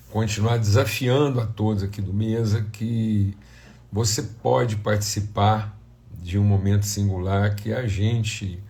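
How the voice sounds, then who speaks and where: A Brazilian man, slightly hoarse and congested, speaking as a pastor in an informal, conversational devotional talk with prayer. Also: lowish voice, a little mellow. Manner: conversational, addressing viewers as family and brothers. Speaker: pastor hosting a devotional livestream.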